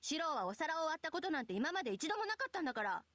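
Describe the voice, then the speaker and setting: Excitable, high, rapid big-sister type. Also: female voice, no echo, clean studio sound. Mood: indignant, defensive. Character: excitable, protective big-sister type young woman, childish temper. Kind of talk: Japanese visual novel voice acting. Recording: game music faintly behind.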